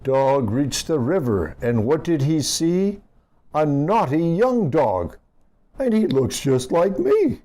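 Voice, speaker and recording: A man with a mellow voice reads a children's rhyming storybook. His pitch swings widely as he goes, animated and aimed at little listeners. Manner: unhurried, animated, addressing young listeners. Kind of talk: expressive read-aloud of a rhyming picture book.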